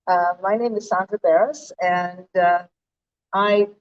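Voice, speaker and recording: A woman's voice: on the low side, steady tone, measured pace. An American woman co-hosting a live talk from a stage, speaking in an articulate, conversational way to an audience. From United States. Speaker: co-host, organization director. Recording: distant, dull live-event sound.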